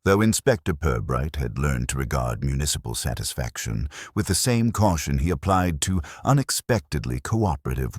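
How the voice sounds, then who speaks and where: A man narrating a scripted mystery story in a formal, measured way, like an audiobook. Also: rumbling low, darkish tone, breathy edge, no echo, studio quality.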